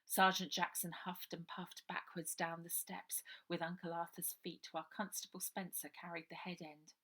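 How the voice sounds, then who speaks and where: A woman's voice: lowish voice, little pitch movement, moderate pace, fairly bright tone. A woman reading her children's novel aloud as a dramatic storybook narration.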